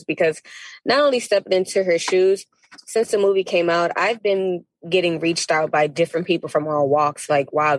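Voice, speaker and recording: Emphatic American woman chatting casually, slightly husky. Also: fairly low pitch, no room sound, rough recording. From Miami, United States. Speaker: podcast guest, actress. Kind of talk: casual interview chat.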